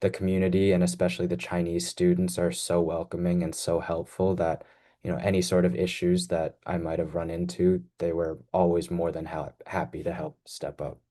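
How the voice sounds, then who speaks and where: A young man from the United States, a student on a panel, answering in a relaxed way with many "you know"s. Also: low-pitched, moderate pace. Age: young adult.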